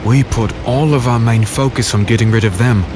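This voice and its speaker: An actor playing a defiant, battle-worn swordsman who narrates and speaks in a dark fantasy audio drama, gritty and earnest. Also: mid-range voice, steady tone, a little fast, natural voice. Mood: grim narrating. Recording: loud storm noise under voice. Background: rain, thunder and storm ambience.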